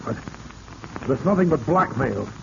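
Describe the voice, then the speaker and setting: Dull, noisy vintage radio sound surrounds a cornered male conspirator. His medium-pitched voice is guarded and terse, acted in 1940s radio drama style. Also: lively intonation, a little slow, gravelly. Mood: defiant. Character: cornered male partner in crime, defiant but wary.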